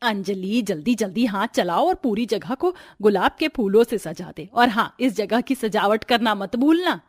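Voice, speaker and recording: A woman's voice: medium pitch, expressive, normal speed, no echo, clean studio sound, lightly acted. A woman voicing a cruel, taunting middle-aged mother-in-law who scolds and bosses people dismissively in a cartoon story. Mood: bossy.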